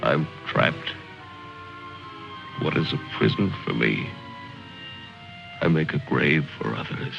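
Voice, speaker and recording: An actor playing a brooding, world-weary general who muses slowly and morbidly, in an old film drama. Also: male voice, very deep voice, expressive, gravelly, heavily acted. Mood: troubled. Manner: slow, solemn, theatrical musing. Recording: dull old film soundtrack, loud music.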